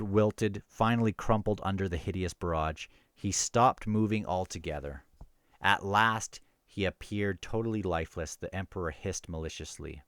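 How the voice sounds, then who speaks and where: A man hosting a casual book review, talking and reading passages aloud in a halting, self-correcting way. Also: on the low side, a bit bright, smooth, no echo, studio quality.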